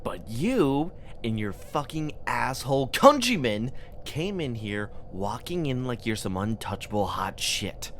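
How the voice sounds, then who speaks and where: An actor playing a bitter, vengeful undead man, delivering a halting, coldly menacing monologue in an audiobook drama. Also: on the low side, a little hiss or hum, lightly acted. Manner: deliberate, halting, seething villain monologue.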